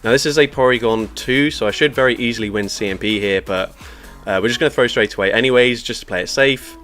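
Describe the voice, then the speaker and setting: A man giving energetic shoutcaster-style commentary on a live-streamed game, laughing often as he talks. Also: quick pace. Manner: energetic shoutcaster delivery, laughs often, lively pitch jumps. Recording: music playing faintly behind.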